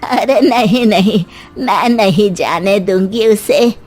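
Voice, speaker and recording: A woman voicing a fretful, easily swayed mother-in-law in an animated family story, speaking in a drawn-out, motherly way. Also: medium pitch, music in the background, natural voice. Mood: concerned. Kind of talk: animated moral story voice acting.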